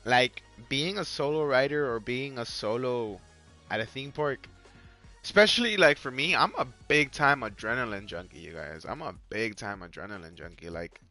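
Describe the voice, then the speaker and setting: A man's voice: mid-range voice, moderate pace, bright, forceful tone. A young man with a Mexican accent, chatting casually to viewers during a live game stream, rambling and repeating himself, full of "like" and "you guys.". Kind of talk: casual live-stream chat while gaming. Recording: faint music underneath.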